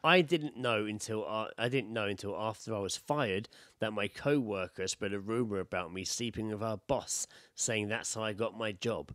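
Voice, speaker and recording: A man's voice: lowish voice, moderate pace, few pauses, fairly bright tone, no echo, studio quality. A British man co-hosting a podcast, bantering casually and reading out stories in a blunt, sweary, laddish way. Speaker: podcast co-host.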